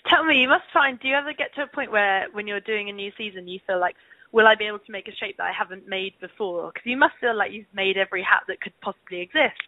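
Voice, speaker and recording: A woman's voice: mid-range voice, fast talker. A young woman interviewing someone casually over the phone. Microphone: telephone. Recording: band-limited phone call recording.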